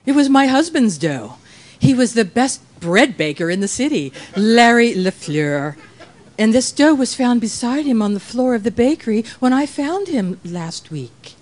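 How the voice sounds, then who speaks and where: A woman's voice: fairly high pitch, big pitch swings, measured pace. Woman acting a coy, sultry femme fatale widow. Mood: poised. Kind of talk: radio play acting. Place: live performance on a cruise ship.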